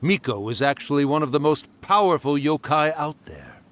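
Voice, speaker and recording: A man's voice: on the high side, animated, normal speed, a bit bright, slight background noise. A man voicing a centuries-old yokai storyteller in a video game, genial and theatrical, with a teasing, wistful manner.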